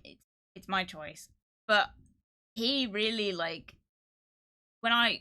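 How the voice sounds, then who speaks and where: A woman's voice: lowish voice, bright, forceful tone. A woman in her twenties chatting casually and candidly as a live streamer, emphatic and repeating phrases for stress. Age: 20s. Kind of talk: casual livestream chat, candid personal talk.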